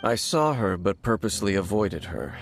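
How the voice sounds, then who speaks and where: An actor voicing a composed, secretive scholar who explains things in a measured way, in a video game cutscene. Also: male voice, lowish voice, very animated. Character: calm, secretive man, a learned scholar. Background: game music.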